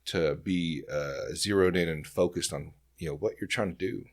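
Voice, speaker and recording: A man with a low-pitched voice hosts a casual interview. He talks informally and encouragingly, as in a relaxed chat between two people. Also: moderate pace. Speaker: podcast host.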